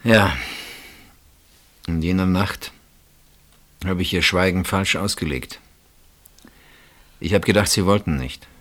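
Radio play: regretful, hesitant husband, deep register, intimate and halting. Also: male voice, a bit bright. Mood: regretful, uneasy. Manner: hesitant, halting, reflective, repeats words. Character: wistful married gentleman named Pierre, hesitant and regretful.

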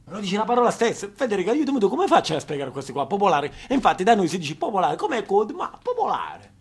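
A man's voice: high-pitched, sing-song, quick pace, slightly rough. A man from Apulia with a southern Italian accent, delivering a theatrical monologue in an emphatic, histrionic manner, repeating words for effect. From Apulia, southern Italy. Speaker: stage actor and monologist.

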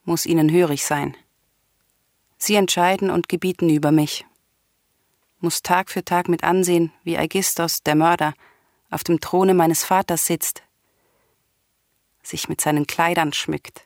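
An actress playing a grieving, vengeful, defiant princess in a classical radio tragedy, speaking in solemn, impassioned declamation. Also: female voice, on the low side, steady tone, unhurried, pauses often, a little mellow. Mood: bitter lament.

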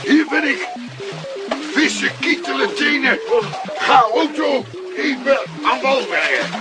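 Brawny simple giant, Flemish, broken sentences, music behind. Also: male voice, extremely high pitch, expressive, slow, heavily acted. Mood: hearty. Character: strong, simple-spoken giant of a man. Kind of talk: children's radio play.

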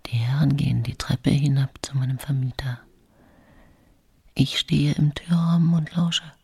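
An actress playing a secretive, fearful middle-aged woman accused of poisoning, in a husky whispered radio drama monologue. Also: rumbling low, fairly even intonation, unhurried, darkish tone, dry recording, exaggerated voice.

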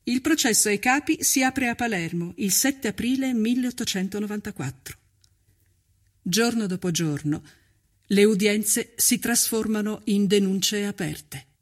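A man narrating a scripted historical account for radio as a storyteller, in a solemn, measured, declamatory manner. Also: high-pitched.

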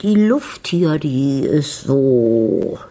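Slow, drawling, teasing society wife. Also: female voice, very deep voice, animated, warm tone, heavily acted. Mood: teasing, languid. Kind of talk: radio drama acting.

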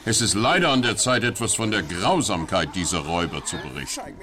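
Mock-dramatic radio play narrator, lowish voice swinging up and down, crowd behind. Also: male voice, very animated, brisk. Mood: mock-grave. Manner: mock-dramatic, wry asides. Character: droll, lurid male narrator of robber tales.

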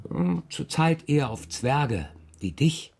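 Musing, playful radio-play dad. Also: male voice, a little high, lively intonation, slow pace, vocal fry, darkish tone, breathy edge, heavily acted. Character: restless, playful father talking with his young daughter. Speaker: actor in a children's radio play. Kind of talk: German children's radio drama acting. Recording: studio radio play with music.

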